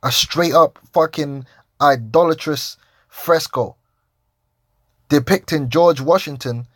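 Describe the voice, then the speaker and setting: A man's voice: on the high side, slow, fairly bright tone, dry recording, clean studio sound. A man giving confrontational religious commentary as a Hebrew Israelite preacher, challenging and profane, with many repeated restarts.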